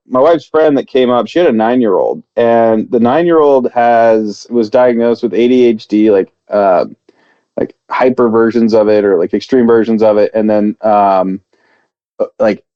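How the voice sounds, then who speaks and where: Rambling dad telling a story. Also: male voice, lowish voice, normal speed, dark tone, dry recording, highs cut off. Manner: rambling, many fillers and restarts. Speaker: podcast co-host. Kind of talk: casual storytelling chat.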